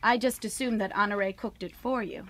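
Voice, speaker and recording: A young newlywed in a radio play, eager to get along with her in-laws. Her medium-pitched voice is polite, chatty and earnest. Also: brisk, fairly bright tone. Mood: polite, admiring. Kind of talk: radio drama acting.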